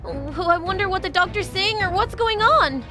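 A woman's voice: very high-pitched, lively intonation, moderate pace. A woman playing an anxious, bossy young princess and peacemaker in a children's game roleplay. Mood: distressed. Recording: music playing behind the voice.

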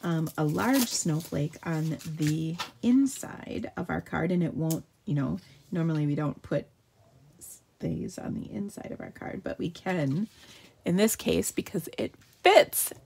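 Host, female: upbeat, chatty craft demonstrator, lowish voice, faint background hiss. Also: animated, unhurried, vocal fry, darkish tone. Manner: chatty and upbeat, answering viewer questions while working. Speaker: card-making demonstrator. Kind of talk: live craft tutorial. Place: home craft table.

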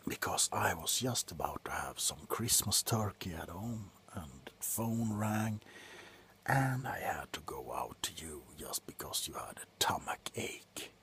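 A tipsy man playing a callous, grumpy doctor in a slow, sullen ASMR roleplay, whispering sarcastically up close. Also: low voice, animated, a bit bright, a little hiss or hum, full character voice. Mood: grumbling, annoyed. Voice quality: breathy whisper. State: drunk. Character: grumpy, callous, drunken male doctor on a house call. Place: small cluttered room indoors.

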